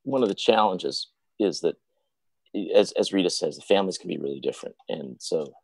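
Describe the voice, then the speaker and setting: A man's voice: low-pitched, expressive, a little fast, vocal fry, husky, no echo. A man on a live panel, a father, talking in a reflective way about his own family's experience with languages. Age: adult parent.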